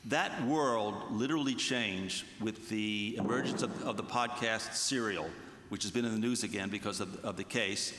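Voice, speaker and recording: A man speaking as a writer on a panel, giving considered commentary in a measured, halting, reflective way. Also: lowish voice, a bit bright, slight background noise. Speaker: true crime writer on a panel. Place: large reverberant festival venue. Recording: echoey hall with long reverb.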